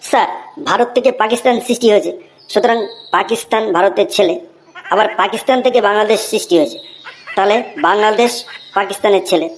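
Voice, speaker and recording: A woman's voice: mid-range voice, fast, lightly acted. A woman voicing an earnest, cheeky Bengali schoolboy in a comedy cartoon, deferential and reciting like a pupil. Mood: cheeky, matter-of-fact. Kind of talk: comic cartoon voice acting. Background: music.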